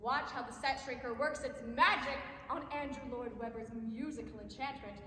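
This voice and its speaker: Woman playing a very high-pitched, showy infomercial hostess. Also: moderate pace, sharp tone. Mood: salesy. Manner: grandly announcing, salesy. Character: peppy, showy female infomercial pitch host. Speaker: infomercial host in a stage comedy. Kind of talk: theatrical sales pitch parody. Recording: echoey hall, faint noise.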